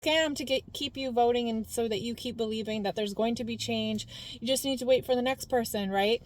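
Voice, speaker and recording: A woman vlogger from Canada with a naturally high-pitched voice, rambling casually between points with lots of fillers. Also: a little fast, rough recording. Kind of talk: casual talking-head commentary.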